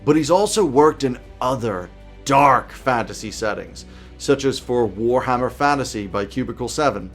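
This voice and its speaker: A man's voice: mid-range voice, expressive, moderate pace, a bit bright. A man in his thirties presenting scripted commentary to camera in an emphatic, enthusiastic way. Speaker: tabletop roleplaying video host. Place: small home office, indoors. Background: background music.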